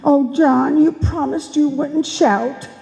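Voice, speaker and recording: Woman acting a slow, needling wife, reverberant hall. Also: high-pitched, fairly even intonation, vocal fry, warm tone, full character voice. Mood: reproachful. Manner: needling, long-suffering, deliberate. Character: nagging, martyred wife needling her husband. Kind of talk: old-time radio comedy acting before a live audience.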